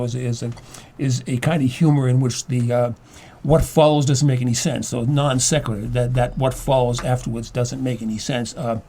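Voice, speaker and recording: Older man, halting medium-pitched talker. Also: a little mellow. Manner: halting, stammering, repeats words while explaining. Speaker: studio guest, playwright and former teacher. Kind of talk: conversational interview.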